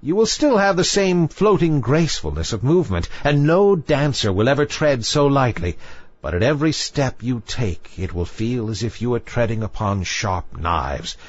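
A man's voice: mid-range voice, sing-song, moderate pace, no room sound, muffled recording. A man voicing a sinister, scornful old female sea witch in a storybook fairy tale, with theatrical, bargaining delivery. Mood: ominous, commanding.

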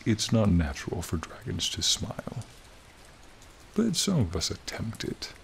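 Male actor as courtly dragon for ASMR roleplay: very low, slow, breathy to whispering, charming with a menacing edge. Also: exaggerated voice. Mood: charming, friendly. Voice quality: breathy, often sinking to a whisper. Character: ancient-sounding male frost dragon, charming, courtly, menacing turned friendly. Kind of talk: ASMR roleplay, dramatic monologue. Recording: steady rain-like ambient noise.

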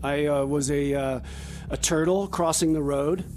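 A man's voice: a little high, fairly even intonation, a little mellow, natural voice. Man playing rambling, hapless would-be actor, noisy background. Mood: earnest, boastful. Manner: rambling, halting, overconfident. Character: eager, hapless male small-town actor posing as mechanic. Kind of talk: improvised comedy radio theater. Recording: clear background noise.

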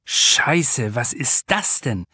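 A man's voice: mid-range voice, big pitch swings, very slow, pushed and bright, breathy edge, no echo, studio quality, heavily acted. A man voicing an urgent, blunt young male lifeguard in an audiobook. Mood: alarmed. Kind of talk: audiobook character dialogue.

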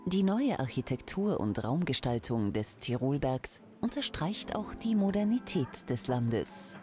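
A woman reading a scripted news voice-over in a smooth, measured way. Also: very deep voice, big pitch swings, over music. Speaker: news narrator.

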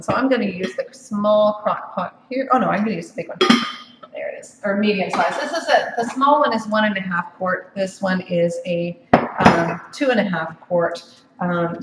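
A woman's voice: fairly low pitch, gravelly, noisy background. Woman presenter, casual cooking demo, chatty with frequent fillers; echoey room, mic at a distance. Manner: chatty, many ums and restarts. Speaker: cooking demonstrator. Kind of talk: live cooking demonstration. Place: home office kitchen.

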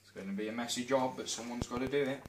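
A man's voice: medium pitch, rapid-fire, roomy sound. Brief: young Midlands guy, wisecracking co-host, faint kitchen noise behind. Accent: English Midlands. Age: young adult. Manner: wisecracking, mock-announcer asides. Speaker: cooking vlog co-host. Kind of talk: casual jokey cooking chat. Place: small home kitchen. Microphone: camera mic on the counter. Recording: noisy home video.

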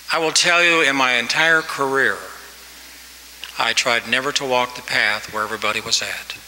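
Bright-voiced older man exhorting graduates. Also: medium pitch, a little hiss or hum. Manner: earnest, exhorting, rising emphasis. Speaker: commencement speaker. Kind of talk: commencement address. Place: large hall. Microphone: podium mic. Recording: reverberant hall, faint ambience.

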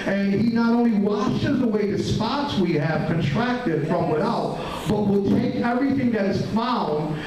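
A middle-aged man preaching a sermon in a small church hall, adding "amen" after nearly every phrase, with halting restarts. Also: high-pitched, darkish tone. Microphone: handheld mic, filmed from a far camera. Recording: very echoey room, distant camera.

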